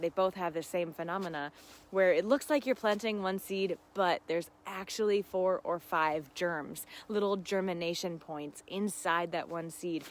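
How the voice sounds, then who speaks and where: A woman hosting a casual live gardening Q&A, talking in an effusive, affirming, giggly and conversational way. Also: on the low side. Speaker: gardening host answering live viewer questions.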